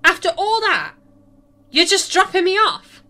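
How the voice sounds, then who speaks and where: A woman's voice: very high voice, lively intonation, a little slow, pushed and bright, exaggerated voice. A woman playing a bold, sceptical young companion with a cheeky, direct manner in a science fiction audio drama. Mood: incredulous.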